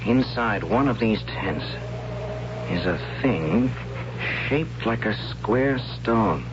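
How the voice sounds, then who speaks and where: An actor playing an earnest, awestruck young tribesman in a 1970s radio drama, speaking in simple halting phrases with hushed wonder. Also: on the low side, lively intonation. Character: earnest, awed young tribesman of a primitive future. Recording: dull, noisy old radio broadcast with hum.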